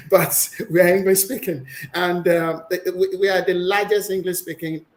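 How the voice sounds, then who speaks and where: A woman's voice: lowish voice, animated, takes it slowly, a little mellow. A woman guest in an interview, explaining her views on business at length with frequent restarts. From Nigeria. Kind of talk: conversational interview.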